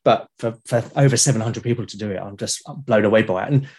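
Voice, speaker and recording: A man's voice: lowish voice, quick pace, darkish tone, slightly rough. A man chatting casually as a podcast guest, enthusiastic but hesitant, often repeating words as he goes. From Britain. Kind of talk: casual conversational interview.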